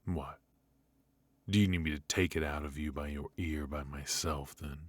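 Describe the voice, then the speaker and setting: A man playing a suave, menacing mafia boss in a noir ASMR roleplay, measured and mock-courteous, with a husky voice. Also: a bass voice, fairly even intonation, no room sound. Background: faint music.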